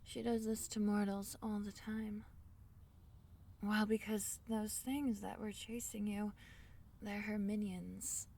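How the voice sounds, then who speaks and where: Woman doing a shy little forest fairy for asmr roleplay, soft gentle mellow voice, a bit distant w/ some background noise. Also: mid-range voice, flat delivery, slow, creaky. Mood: concerned, explaining. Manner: soft-spoken, gentle, hesitant, breaking into whispers. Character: small, shy, kind female forest fairy healer. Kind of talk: intimate ASMR fantasy roleplay.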